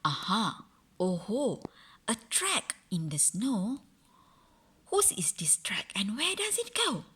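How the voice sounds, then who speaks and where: A woman voicing a bold, curious young monster child in a storybook reading, exclaiming and questioning excitably. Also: mid-range voice, takes it slowly, breathy edge, exaggerated voice. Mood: excited, curious.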